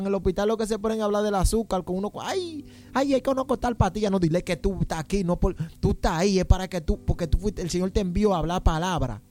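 High-voiced fervent male preacher. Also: a little mellow. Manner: exclaims "gloria a Dios" and "aleluya" constantly, pleading. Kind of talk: fervent Pentecostal-style sermon.

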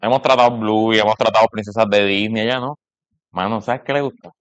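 Casual Puerto Rican male talker, brisk pace, anecdotal, tight dry recording. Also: fairly low pitch, steady tone, dry recording, lo-fi sound. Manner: chatty, anecdotal storytelling. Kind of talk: casual conversation about parenting.

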